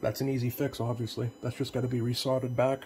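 Casting: male repair tech, mid-pitched, casual and hesitant hands-on demo, faint noise behind him. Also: flat delivery, fast talker, darkish tone. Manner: hesitant, unscripted, plain-spoken. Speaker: CB radio repair technician. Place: indoor home electronics workbench. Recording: noticeable background noise, workbench room.

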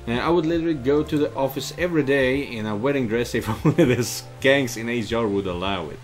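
A man's voice: medium pitch, fairly quick. A middle-aged man giving casual commentary on a game he plays, reading its text aloud expressively. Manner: expressive, exclaiming. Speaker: streamer playing a visual novel. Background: game music and rain sounds.

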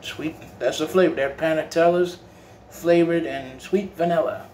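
Guy rambling thru a home review on his phone, kinda high voice, echoey room w a lil background hum. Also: normal speed, darkish tone. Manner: rambling, repeats and restarts phrases. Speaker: cigar vlogger. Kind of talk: casual home review vlog. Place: home room with bare walls. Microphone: phone held while filming. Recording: echoey room, faint room noise.

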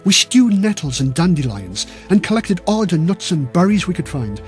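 A man's voice: sits high, very animated, moderate pace, faint music behind. A man voicing a starving Irish countryman who grimly recalls hardship in an audiobook. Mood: grim recollection. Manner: grim, listing item by item. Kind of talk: acted eyewitness quote in audiobook.

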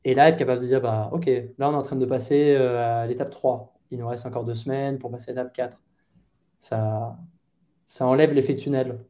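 A man in his thirties speaks over a headset on a video call from his bedroom. His voice is very dark and mellow. He answers at an easy conversational pace, hesitating and restarting as he thinks. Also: medium pitch, steady tone, moderate pace. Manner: thoughtful, hesitant with many fillers and restarts. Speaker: guest product manager. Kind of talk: casual interview answers. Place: bedroom at home.

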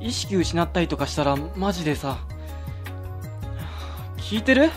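A man playing an excitable, tipsy young office worker in a comedic radio drama, talking in lively bursts that turn panicky. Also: a little high, unhurried, music in the background. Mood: worried. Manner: lively, rising to panicky shouts.

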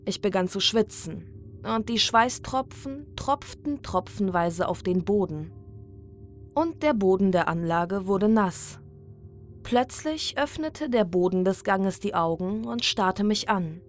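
Slow, measured woman narrator. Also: medium pitch, lively intonation. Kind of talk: scripted horror story narration. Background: music.

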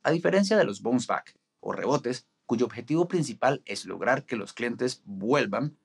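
A man in his forties explaining restaurant marketing to camera in a studio, with a steady, instructive delivery. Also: mid-range voice, moderate pace, creaky, breathy edge. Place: studio in front of a green screen.

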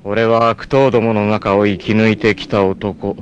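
An actor playing a fierce, proud martial artist in an anime, with an intense, theatrical delivery. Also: male voice, on the low side, little pitch movement, dull audio, a little hiss or hum, close to an everyday voice. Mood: brooding, cool. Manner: intense, theatrical, from cool measured speech to anguished shouts. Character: fierce, proud martial artist hunting his sister's abductor. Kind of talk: dramatic anime acting.

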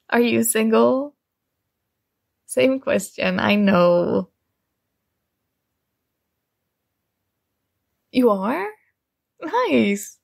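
A woman's voice: a little high, creaky, exaggerated voice. A woman playing a shy, nerdy young student flustered by her crush in an ASMR roleplay, speaking hesitantly and awkwardly. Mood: flustered, nervous.

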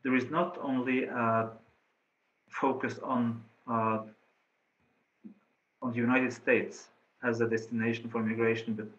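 A middle-aged man with a Norwegian accent, a researcher answering questions in an online talk, halting with many fillers and restarts. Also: medium pitch, fairly bright tone. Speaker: presenting researcher. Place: office room indoors. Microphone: laptop webcam on a video call. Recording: slightly dull video call audio.

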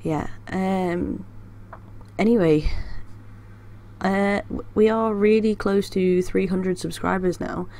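A woman's voice: medium pitch, steady tone, slow, warm tone. A young woman hosting her art channel, talking casually with a congested voice from a cold, with many ums and restarts. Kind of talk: casual vlog chat.

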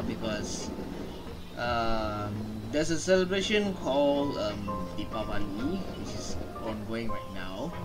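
Young man, gaming streamer, casual commentary, mid-range voice, halting explanations, game music in the background. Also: medium pitch, rough recording. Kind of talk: casual playthrough commentary, reading on-screen text aloud. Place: small indoor room, on webcam.

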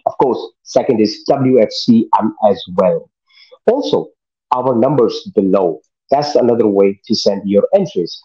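A man's voice: fairly low pitch, lively intonation, a little slow, warm tone, breathy edge. Middle-aged male host on a dull call line. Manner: enthusiastic, loose informal phrasing. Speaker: talk show host. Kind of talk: video call interview hosting. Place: living room at home. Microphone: video call webcam.

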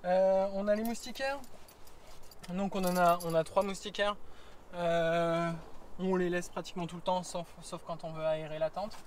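Chatty man with a high voice. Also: fairly even intonation, normal speed, slight background noise. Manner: chatty, many fillers. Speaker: travel vlogger. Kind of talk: casual vlog walkthrough. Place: roof tent on a car, then outdoors in bush.

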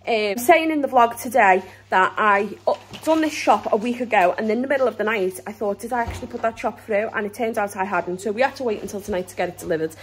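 A woman's voice: mid-range voice, fast talker, few pauses, poor audio. A British woman in her thirties chatting through a family grocery haul at home, rambling and laughing often while filming on a handheld phone. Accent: British English. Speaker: vlogger. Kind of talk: casual grocery haul vlog. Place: home kitchen, indoors. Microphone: handheld phone camera.

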